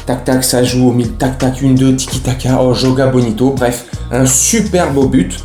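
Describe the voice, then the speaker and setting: A man hosts a chatty football fan recap. His mellow, relaxed voice rings in a roomy space. He uses plenty of slang and speaks directly to the people watching. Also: mid-range voice, normal speed, soft background music. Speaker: host of a football fan show. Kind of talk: casual match recap to camera. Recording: roomy, echoey room sound.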